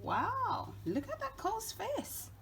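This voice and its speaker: A woman's voice: very high voice, huge pitch swings, slow pace, vocal fry, very noisy. A woman chatting casually and playfully with a child during storytime, prompting and encouraging. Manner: encouraging, playful, reacting with interest.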